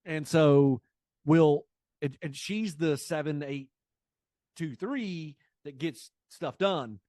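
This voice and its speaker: Higher-voiced man in his late forties, energetic. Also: takes it slowly, dry recording, studio quality. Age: late 40s. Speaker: guest, business coach and sales trainer. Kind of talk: casual conversational interview.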